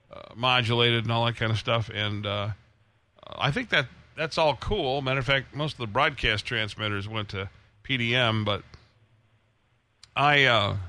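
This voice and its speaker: A man hosting a casual radio chat about old transmitters, rambling with many pauses and restarts. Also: mid-range voice, sharp tone.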